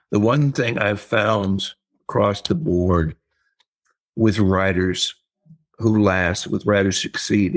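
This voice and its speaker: An older man, an author and writing teacher, talking in a casual interview, thoughtful and halting, with many fillers and repeated words. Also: on the low side.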